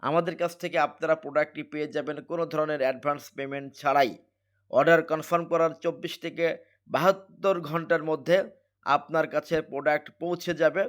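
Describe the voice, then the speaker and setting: A man with a Bangladeshi accent presents a product as its seller. His voice sits somewhat high for a man. He explains in an emphatic, repetitive way, driving each selling point home. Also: fairly even intonation, fast. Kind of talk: sales pitch, product demonstration.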